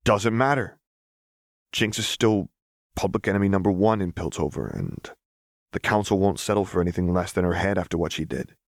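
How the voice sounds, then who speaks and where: A man's voice: low voice, normal speed, creaky, no echo, studio quality. A man voicing a defiant, cocky young male rebel in an audiobook, with taunting, slangy delivery.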